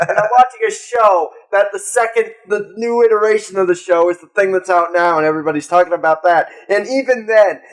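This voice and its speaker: A young adult man co-hosting a podcast in casual, rambling chat sprinkled with swearing. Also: high-pitched. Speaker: podcast co-host.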